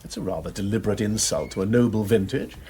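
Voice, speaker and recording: An actor playing a flippant, breezy aristocratic amateur sleuth in a radio drama, with an upper-class English accent. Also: male voice, fairly low pitch, quick pace, dark tone, close to an everyday voice.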